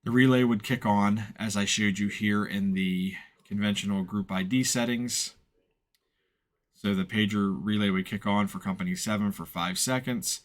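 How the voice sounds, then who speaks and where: A man talks through an unscripted step-by-step software tutorial in an informal, matter-of-fact, explanatory way. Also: on the low side, steady tone, moderate pace. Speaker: instructor, software tutorial presenter.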